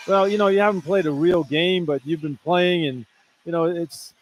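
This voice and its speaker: A man's voice: on the high side, moderate pace, a little hiss or hum, poor audio. An American man giving informal, conversational color commentary on a sports radio broadcast, sometimes repeating himself. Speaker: color analyst.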